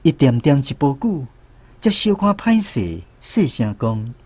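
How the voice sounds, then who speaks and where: A man with a Taiwanese accent narrating a scripted radio drama as a grown son, reflective and expressive. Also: mid-range voice, a little slow, no echo. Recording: music behind the voice.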